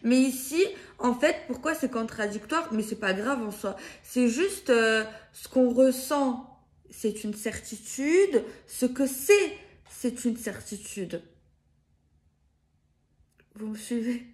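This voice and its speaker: Slow-paced, hesitant woman. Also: a little high, lively intonation, a little mellow. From France. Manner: hesitant, circling, repeats and rephrases herself. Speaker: card reader giving guidance to viewers. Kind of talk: improvised spiritual guidance, casual chat to viewers.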